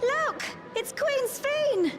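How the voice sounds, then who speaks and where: A small, anxious child in a game cutscene speaks in a very high voice. The delivery is earnest and pleading, with music prominent behind it. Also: female voice, lively intonation, very slow, heavily acted. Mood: excited, pleading. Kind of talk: scripted video game cutscene acting. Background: prominent background music.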